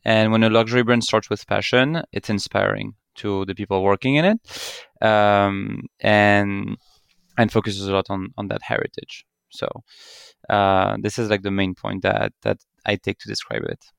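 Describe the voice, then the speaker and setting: Young man, low and creaky. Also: fairly even intonation, moderate pace, a bit bright, no echo, studio quality. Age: late 20s. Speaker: guest, family business member. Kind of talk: conversational explanation.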